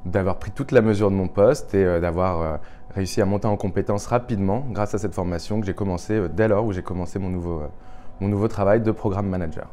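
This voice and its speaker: Very deep, dark-voiced man. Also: slight background noise. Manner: deliberate, halting with fillers. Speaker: programme manager. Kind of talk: testimonial interview.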